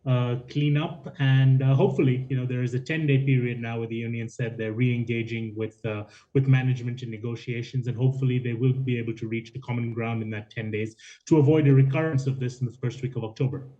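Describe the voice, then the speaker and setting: A man speaking as an expert guest on the news, explaining off the cuff in a measured, hesitant way with repeated words. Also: medium pitch, steady tone, dark tone. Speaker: aviation consultant, interview guest. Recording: dull, lo-fi remote link.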